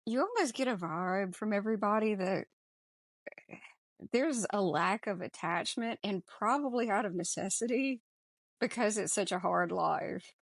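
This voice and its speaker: Female podcast co-host, casual and informal with emphatic delivery, average pitch, very little room sound. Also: lively intonation, unhurried, no room sound, clean studio sound. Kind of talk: casual movie discussion.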